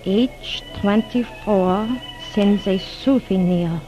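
An actress playing a clever, secretive spy in a 1940s radio drama, giving deliberate, clipped orders. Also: female voice, medium pitch, dark tone, close to an everyday voice. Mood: hushed, commanding. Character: clever, secretive foreign female spy, commanding and guarded. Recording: dull old radio recording, faint music.

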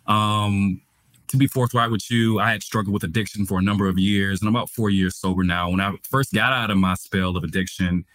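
A man's voice: lowish voice, steady tone, fairly quick, fairly bright tone, no echo. A man in his twenties hosting a podcast, talking openly and reflectively about his own life. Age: 20s. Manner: open, reflective, candid. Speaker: podcast host. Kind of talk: podcast conversation, personal sharing.

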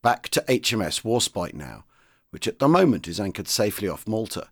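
A man's voice: lowish voice, sing-song, brisk, fairly bright tone, slightly rough, no echo, clean studio sound. A man presenting scripted links between historical diary readings. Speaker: podcast presenter.

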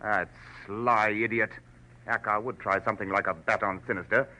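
Posh English villain: purring, bright-edged, pompous, humorless menace, vintage radio drama sound. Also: male voice, lowish voice, fairly even intonation, moderate pace, rough recording. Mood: scornful, wary. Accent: upper-class English. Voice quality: purring, flaccid. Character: haughty, wary male criminal with a gun. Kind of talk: 1940s radio drama acting. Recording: old 1940s radio recording.

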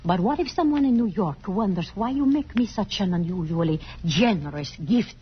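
An actress plays a blunt, disapproving woman friend in an old radio drama. She scolds in an emphatic, theatrical way. The recording is muffled, with clear noise behind her. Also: mid-range voice, animated, normal speed, close to an everyday voice. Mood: sardonic. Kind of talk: 1950s radio drama acting.

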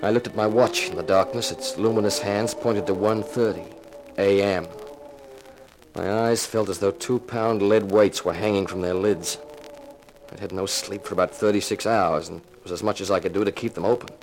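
An actor playing a tough, wry private detective who narrates in a laconic 1950s radio crime drama style. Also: male voice, lowish voice, fairly even intonation, moderate pace, soft background music, natural voice. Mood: tense, brooding. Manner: hard-boiled, sardonic, laconic. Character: tough, wry male private detective, cool under threat. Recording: noisy vintage radio recording.

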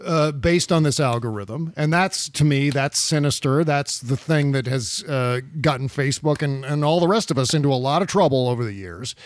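A man's voice: mid-range voice, normal speed, few pauses. Casual, profane middle-aged male podcast host. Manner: conversational, profane, many fillers. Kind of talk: casual political chat.

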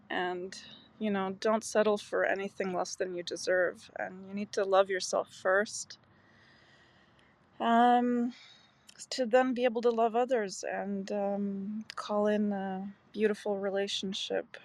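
A woman from Canada sharing personal thoughts in an earnest, conversational way, as a speaker in an audio chat room. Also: medium pitch.

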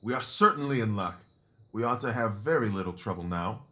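A brisk, self-assured Victorian detective acted by a man in an audio drama. His voice is on the low side, and he lays out his reasoning precisely, like an instructor. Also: animated, bright, forceful tone. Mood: elated. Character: brisk, confident, analytical male Victorian detective.

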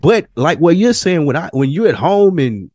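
A man's voice: fairly high pitch, big pitch swings, moderate pace, warm tone, no room sound, studio quality, faint music behind. A man in candid, casual podcast conversation, swearing freely in slang and repeating himself for emphasis. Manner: slangy, profane, emphatic repetition. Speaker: podcast co-host.

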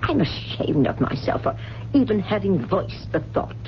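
An actress playing a wealthy, fragile older widow, grieving and forgetful, with genteel, theatrical delivery in a 1970s radio drama. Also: female voice, mid-range voice, very animated, moderate pace, close to an everyday voice. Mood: resigned, wistful. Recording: dull, noisy, humming old radio recording.

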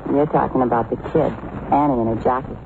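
A woman's voice: extremely low pitch, fairly even intonation, brisk, vocal fry, phone-quality sound. An actress playing a boozy, sardonic gangster's girlfriend in an old radio drama, worldly and bitter, on a noisy vintage recording. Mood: bitter, resigned. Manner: sardonic, teasing.

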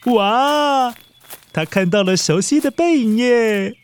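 A man's voice: very high-pitched, expressive, slow, clean tone. A man with a Taiwanese accent telling a story expressively, speaking directly to young children.

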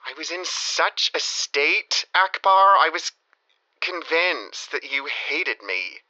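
An actor playing a rambling, self-pitying lovesick ex-boyfriend in his thirties, halting and confessional, in an audio comedy drama. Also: fairly high pitch, big pitch swings, bright, forceful tone, heavily acted, poor audio. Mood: regretful, pleading. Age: 30s.

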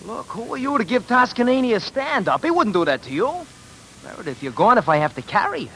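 A man playing a pushy, loyal friend, insistent and excitable, in 1940s radio style. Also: sits high, fast, loud music behind the voice. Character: pushy, loyal male friend urging a timid composer on. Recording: hissy old radio broadcast.

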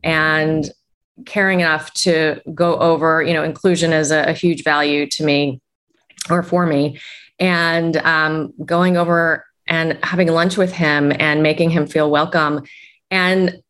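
A woman's voice: deep voice, level tone, measured pace, a bit bright. A woman executive coach, a guest answering interview questions in a reflective way. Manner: reflective, uses "you know" often.

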